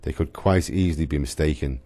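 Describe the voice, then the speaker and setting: Very deep-voiced male drama narrator. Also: dark tone. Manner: solemn, measured. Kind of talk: scripted radio drama narration.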